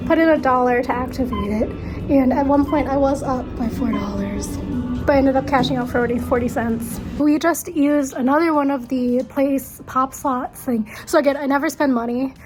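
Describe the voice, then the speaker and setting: A woman's voice: high voice, moderate pace, over music, low-quality recording. A young woman with a congested, stuffy voice, chatting casually as a travel vlogger, rambling and correcting herself as she goes. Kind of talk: casual vlog narration. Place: hotel and casino in Las Vegas.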